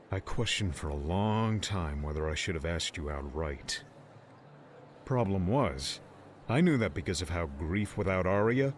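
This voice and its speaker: A man's voice: low voice, a little hiss or hum. An actor playing a smug, menacing older man who leads a mob, taunting and condescending, in an audiobook drama. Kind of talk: audiobook drama villain acting.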